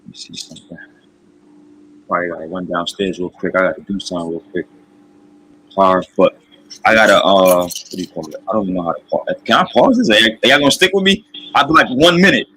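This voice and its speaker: A man's voice: low voice, animated, fast, sharp tone, slight background noise, poor audio. A man hosting a casual livestream, talking informally with lots of slang and repeated phrases. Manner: informal, repetitive, slangy with frequent "bro". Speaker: livestream host.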